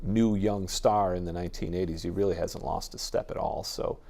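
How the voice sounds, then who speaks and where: Male expert guest, somewhat deep voice, informative and enthusiastic, rambling explanatory interview delivery. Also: fairly even intonation, normal speed, gravelly, mellow. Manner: discursive, explanatory. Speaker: radio jazz expert guest.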